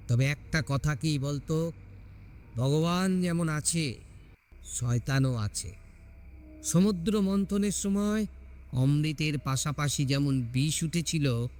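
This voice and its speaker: A man's voice: fairly high pitch, lively intonation, takes it slowly, long pauses, darkish tone. A man voicing a grave, resolute holy ascetic with a firm, portentous delivery in an audio story. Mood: slow, admonishing. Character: grave, resolute male holy ascetic, all-knowing and protective.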